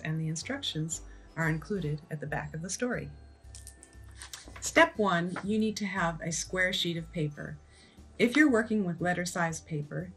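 Female craft instructor, sixties, lowish voice, measured and slow, faint music underneath. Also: a bit bright. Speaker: illustrator giving craft instructions. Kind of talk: step-by-step craft tutorial. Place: wood-cabinet room indoors, at a craft table.

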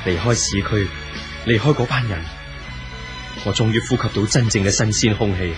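A young, idealistic romantic hero played by an actor in an old Hong Kong Cantonese radio drama. He speaks in a fairly low voice, solemn and declamatory, with clear music underneath. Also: moderate pace, close to an everyday voice. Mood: tender, hopeful. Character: earnest young romantic man, idealistic and brooding.

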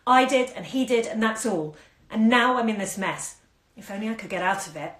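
A woman's voice: on the low side, fairly quick, echoey room, lightly acted. A woman reading as a bitter desperate young woman. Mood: anguished. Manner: bitter, clipped, raised voice.

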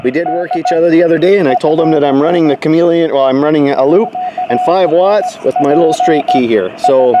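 A man's voice: a little high, brisk. A middle-aged man from Canada giving casual explanatory commentary as an amateur radio operator outdoors. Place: outdoors in a yard at a wooden table. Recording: very noisy outdoor recording, radio Morse tones. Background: outdoor noise, radio static and Morse beeps.

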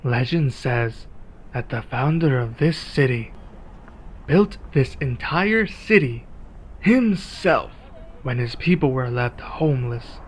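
Man, medium-pitched voice, ASMR roleplay, laid-back werewolf character, measured conversational delivery, pauses. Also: animated, a little hiss or hum. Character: laid-back, reluctantly friendly male werewolf local. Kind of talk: ASMR roleplay acting, one-sided conversation.

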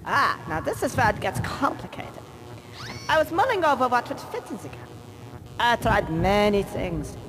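A man playing an excitable, pedantic weasel scientist with a German accent in a video game. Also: very high voice, normal speed, background noise. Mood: pedantic lecturing. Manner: pedantic, theatrical lecturing. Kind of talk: video game cutscene acting.

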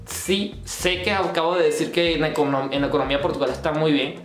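A man's voice: fairly high pitch, fairly quick, faint music behind. Rambling Venezuelan man in an echoey room. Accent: Venezuelan Spanish, Caracas. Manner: rambling, restarts often, gestures while talking. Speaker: vlogger. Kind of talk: casual talk to camera. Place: bedroom indoors.

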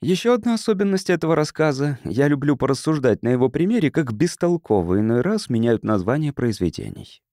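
Male narrator for literary audiobook; mellow, animated intonation, measured storytelling, dry close mic. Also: mid-range voice, no echo. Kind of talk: scripted literary narration, reading a science fiction story.

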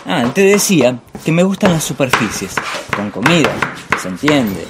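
An actor playing a pompous, bullying master chef and dreamy film snob in a radio play, theatrical. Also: male voice, medium pitch, very animated, normal speed, very noisy. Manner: pompous, digressive, theatrical monologuing.

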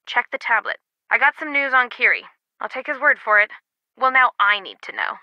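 An actress playing a brisk, wry young woman, a loyal friend and teammate, in a casual video game delivery. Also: a little high, animated, dry recording, dull audio, close to an everyday voice.

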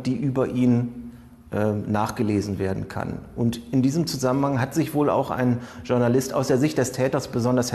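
A man giving analytical interview commentary as a criminal profiling expert, in formal, deliberate phrasing. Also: medium pitch, dark tone. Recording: long room reverb.

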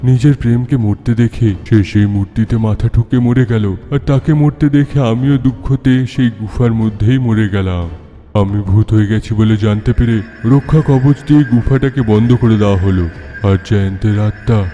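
An actor playing the ghost of a grieving middle-aged sculptor father, telling his tale in a measured, sorrowful way. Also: medium pitch, mellow, natural voice. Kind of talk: dramatic Bengali horror-tale narration. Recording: long reverb, music behind.

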